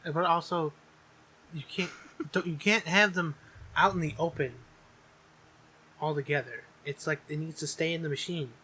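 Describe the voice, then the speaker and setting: Higher-voiced man, very bright, joking around. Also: fairly even intonation, moderate pace, long pauses, slightly rough, rough recording. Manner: profane, jokey, insistent riffing. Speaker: podcast co-host. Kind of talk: casual comedic banter.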